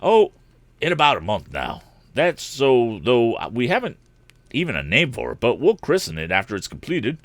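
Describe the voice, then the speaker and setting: A man voicing a practical adult aeronaut in a storybook, with a matter-of-fact, brisk manner. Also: mid-range voice, huge pitch swings, no echo, voice pushed up. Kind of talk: audiobook character voice.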